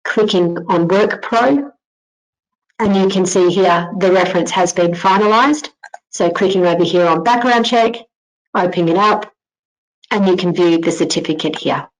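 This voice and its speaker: A woman giving an informal narrated software tutorial, explaining things step by step as a company presenter. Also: fairly low pitch, normal speed, poor audio. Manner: instructional, step-by-step.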